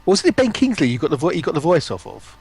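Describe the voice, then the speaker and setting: A man's voice: fairly high pitch, big pitch swings, fast, darkish tone, slightly rough. A man chatting casually as a guest on a livestream. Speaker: guest streamer.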